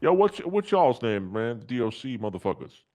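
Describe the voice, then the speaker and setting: A man's voice: mid-range voice, very animated, normal speed, warm tone, close to an everyday voice. A man playing a brash, foul-mouthed prisoner in online roleplay, talking in swaggering slang. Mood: brazen. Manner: slangy, swaggering, heavy swearing.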